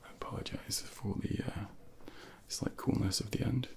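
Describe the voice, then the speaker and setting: A man murmurs close to a binaural microphone, often slipping into a whisper. His voice is breathy and full of deep vocal fry. He plays a junior doctor in an ASMR exam, giving gentle, reassuring instructions one step at a time. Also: fairly even intonation, normal speed. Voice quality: breathy, whispery, with heavy creak. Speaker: ASMR performer role-playing a junior doctor. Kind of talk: soft-spoken ASMR medical role play.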